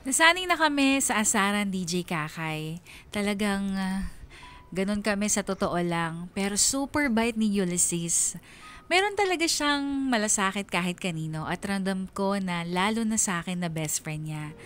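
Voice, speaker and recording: A woman's voice: medium pitch, animated, moderate pace, a bit bright, clean tone, close to an everyday voice. A woman with a Filipino accent playing a young woman who fondly narrates her story to a radio DJ in a conversational way. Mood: fond, reflective.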